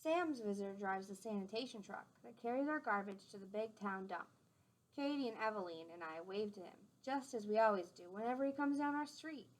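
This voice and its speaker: A woman reading a picture book aloud to young children in an expressive, teacherly way, with simple explanatory asides. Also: mid-range voice, a little fast, poor audio.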